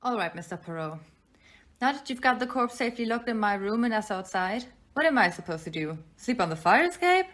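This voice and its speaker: A woman in an amateur audio play acting a sharp-tongued, defensive female hotel guest with a sarcastic manner. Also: a little high, very animated, brisk. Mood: wary, questioning.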